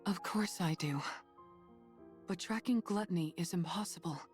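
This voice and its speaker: Woman acting a low, stern, level-headed android soldier. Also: darkish tone, soft background music, natural voice. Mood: firm, reluctant. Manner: measured, firm, grave. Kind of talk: dramatic anime game dub acting.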